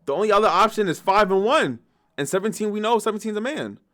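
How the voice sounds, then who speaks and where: A man's voice: high voice, lively intonation, fast talker, a bit bright. A man giving casual, off-the-cuff reaction commentary as a video host, blunt and full of swearing and slang.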